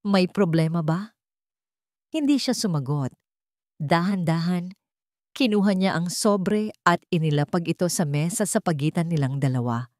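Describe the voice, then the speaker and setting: A woman narrating a dramatic scripted story in slow, deliberate phrases with pauses. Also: lowish voice, darkish tone, no echo, clean studio sound.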